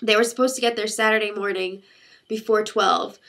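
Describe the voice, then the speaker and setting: Young woman vlogger with a congested cold, crisp bright voice, chatty and rambling. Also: medium pitch, steady tone, normal speed. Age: young adult. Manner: chatty, rambling storytelling with many fillers. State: congested, sick voice. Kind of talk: casual chat to camera.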